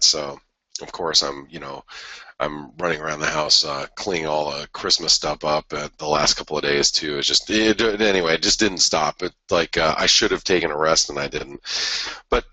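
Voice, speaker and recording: A man with a stuffy head cold and a raspy voice, hosting a trading webinar in a rambling, casual way with many restarts. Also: rumbling low, pushed and bright, no room sound, poor audio. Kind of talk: casual market analysis talk.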